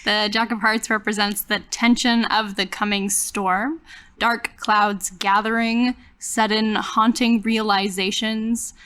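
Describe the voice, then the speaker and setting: A woman's voice: medium pitch, flat delivery, slow, a bit bright, smooth. A woman reading out card meanings during a tabletop roleplaying game.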